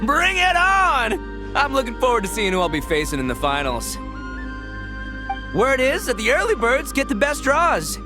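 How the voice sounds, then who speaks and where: Big goofy oni gang boss, anime game, boastful, slangy, swooping pitch, music behind. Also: male voice, wildly swooping pitch, moderate pace, full character voice. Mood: cocky, hyped. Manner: brash, slangy, swaggering. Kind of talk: anime game character acting.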